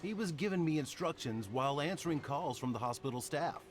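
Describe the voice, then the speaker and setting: A man's voice: on the high side, animated, a little fast, lightly acted. An actor playing a chatty middle-aged male car mechanic in a romance game, folksy, casual and sympathetic. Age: 40s. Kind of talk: voiced dialogue in a romance game.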